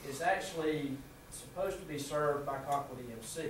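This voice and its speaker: A man's voice: on the high side, a little hiss or hum. Southern guy explaining a plan to council, lots of uh's, far off echoey room mic. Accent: Southern American. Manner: halting, many fillers and restarts. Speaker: city utility official presenting to council. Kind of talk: presenting a proposal at a council meeting. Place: small council meeting room. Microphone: far room camera mic.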